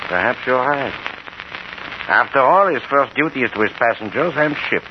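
Actor as wordy educated crook, lively pitch, vintage radio. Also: male voice, normal speed, lightly acted. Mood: pondering, persuadable. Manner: wordy, formal, dry. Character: educated, skeptical male crook nicknamed Doc. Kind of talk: old-time radio crime drama acting. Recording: noisy, dull old radio recording.

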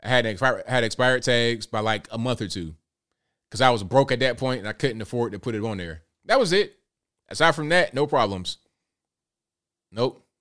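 A man's voice: medium pitch, lively intonation, moderate pace, a bit bright. Laid-back man in his late thirties. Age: late 30s. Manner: laid-back, conversational, repeats himself. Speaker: livestream host. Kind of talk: casual talk reading viewer comments.

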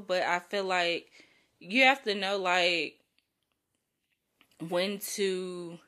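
Young woman host, bright tone, under the weather, chatty and blunt. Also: medium pitch. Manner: chatty, frank, uses slang and light swearing. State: sick with a cold, voice strained. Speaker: podcast host. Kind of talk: casual solo podcast monologue.

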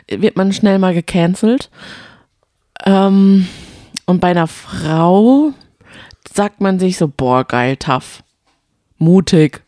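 A woman's voice: fairly low pitch, warm tone, dry recording, studio quality. A woman chatting casually as a podcast co-host, colloquial and opinionated. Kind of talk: casual chat about reality TV.

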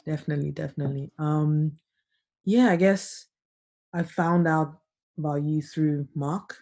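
Soft-spoken relaxed woman hosting a podcast. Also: deep voice, a little slow. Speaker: podcast host. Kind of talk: remote podcast interview. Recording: not studio quality, remote.

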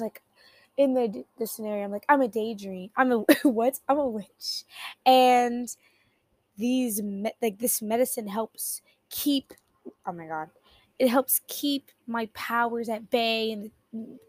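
Young woman host, slightly high voice, raspy morning voice, rambly and giggly, dry close mic. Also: a little mellow, no room sound. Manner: rambling, self-interrupting, giggly. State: raspy just-woken voice. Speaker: podcast host. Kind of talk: casual solo podcast chat.

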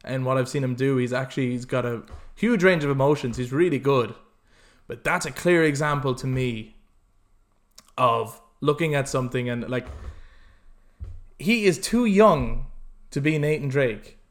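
A man's voice: medium pitch, moderate pace. A man hosting a podcast in casual, opinionated chat, talking emphatically and swearing freely. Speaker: podcast host.

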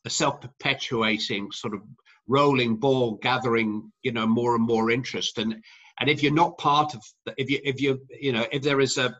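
A middle-aged commentator speaks with a bright, forceful tone, circling through fillers and restarts as he answers. The recording sounds dull and lo-fi, with the highs rolled off. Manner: rambling, many restarts and fillers. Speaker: sports commentator guest. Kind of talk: conversational interview answers.

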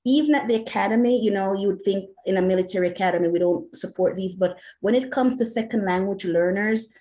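A woman's voice: medium pitch, fast, mellow. A woman with a Jamaican accent, an educator answering an interview question earnestly and passionately, with many "you know" fillers. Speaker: educator and academic.

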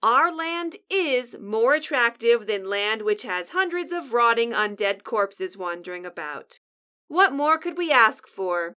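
Woman acting a bossy sarcastic lady survivor radio host, high sharp voice, dry and exasperated, comedy audio drama. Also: expressive, measured pace, clean tone. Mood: polished hosting.

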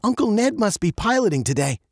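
An exasperated young girl who rolls her eyes at the grown-ups, put on by a male reader. Her voice sits high and jumps around. The sound is dry and near. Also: very animated, brisk, no echo, full character voice.

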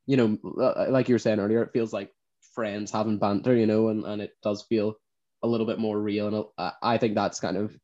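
A man's voice: lowish voice, darkish tone, muffled recording. A man with a Northern Irish accent co-hosting a podcast, chatting casually and laughing often at himself. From Northern Ireland. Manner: laughing often, self-deprecating. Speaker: podcast co-host. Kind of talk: casual chat.

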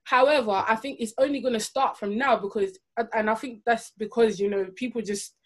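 A woman's voice: on the high side, steady tone, fairly quick. A woman in casual podcast chat, talking in a chatty, slangy way and laughing as she speaks.

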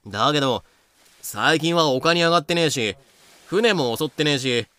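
Animated, excitable pirate crewmate voiced by an actor. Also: medium pitch, normal speed, no room sound, lightly acted. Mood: suspicious. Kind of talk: Japanese fan-made audio drama acting.

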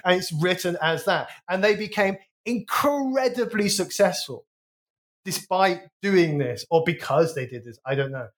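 A man with a West Midlands accent chats about music on a podcast. He rambles and restarts his sentences, and his voice sits a little high. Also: lively intonation, moderate pace. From Wolverhampton, England. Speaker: podcast co-host. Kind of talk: casual music chat.

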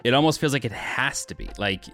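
A man with a bassy voice co-hosting a podcast, blunt and opinionated in casual chat. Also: expressive, brisk, fairly bright tone, soft background music. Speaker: podcast co-host.

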